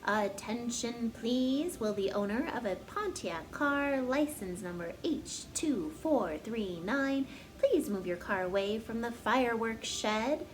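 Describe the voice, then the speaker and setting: A formal storybook fairground announcer, acted by a woman, calls out in a big declamatory way. The delivery is official and deliberate. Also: a little high, lively intonation, poor audio. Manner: slow, declamatory.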